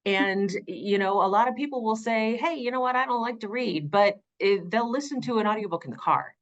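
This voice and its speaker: A woman's voice: fairly high pitch, brisk, highs cut off. A woman speaking as an audiobook narrator being interviewed, earnest and effusive, repeating phrases for emphasis.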